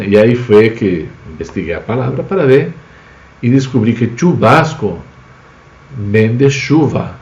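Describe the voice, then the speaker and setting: A man's voice: mid-range voice, animated, unhurried, a little mellow, reverberant, muffled recording. A man from Spain, a native Spanish speaker with a Spanish accent, explains words with examples in an informal live language lesson as the teacher. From Spain, Basque Country.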